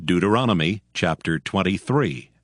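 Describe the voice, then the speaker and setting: A man reading scripture aloud as a narrator, solemn and measured, in a dramatized style. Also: low voice, animated, soft background music. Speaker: Bible narrator.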